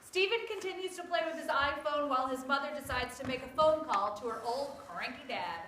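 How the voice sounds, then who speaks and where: A woman's voice: high-pitched, expressive, a little fast, pushed and bright. A woman narrating stage directions at a read-aloud of a script. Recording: echoey room, faint background noise.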